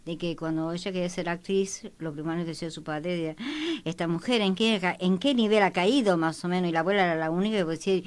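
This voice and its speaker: A woman's voice: lowish voice, quick pace, clean tone. A woman talking in a rambling, repetitive way in a panel discussion. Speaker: panelist on a history programme.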